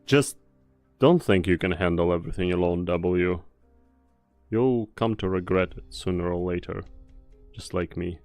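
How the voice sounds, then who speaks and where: A man acting as a world-weary mercenary comrade with a fairly low voice. He speaks gravely and deliberately, like a fatalist who still does his duty. It is anime game dub acting, recorded dry with faint music underneath. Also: darkish tone, no echo. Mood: stern warning.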